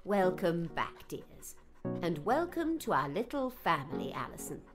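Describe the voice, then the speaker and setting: A man's voice: high voice, huge pitch swings, a little slow, music in the background, voice pushed up, heavily acted. A man voicing a motherly, welcoming woman with an affectionate, soothing manner in an audiobook.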